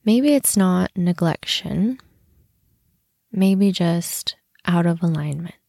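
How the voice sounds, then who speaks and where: A woman hosting a guided meditation, speaking in a soothing, unhurried manner with long pauses and softly breathed phrase endings. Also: lowish voice, animated, vocal fry, no echo, studio quality. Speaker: podcast host and meditation guide.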